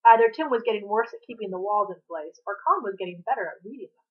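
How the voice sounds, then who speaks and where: A woman's voice: medium pitch, lively intonation, fast talker, narrow, tinny audio. A woman reading fiction aloud as a narrator, in the manner of an author reading her own novel. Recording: thin, band-limited home recording.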